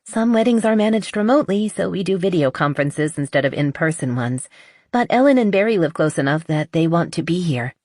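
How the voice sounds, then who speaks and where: Woman reading as a brisk, organized young wedding planner. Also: on the low side, expressive, darkish tone, no room sound, clean studio sound, voice pushed up. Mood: tired, explanatory. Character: Pallas, tired businesslike young female wedding planner.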